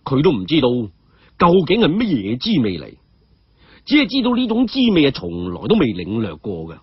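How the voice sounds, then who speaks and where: A man with a Hong Kong Cantonese accent tells a martial arts tale as an oral storyteller. His voice is husky and swings widely in pitch. The recording is a little dull. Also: huge pitch swings, moderate pace, dry recording.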